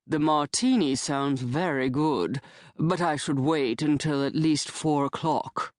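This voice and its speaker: A woman voicing a thin, gloomy old man in his late sixties who speaks in a slow, formal and dryly sour way. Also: sits low, a little mellow, no echo, clean studio sound. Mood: glum, formal. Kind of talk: audiobook character voice.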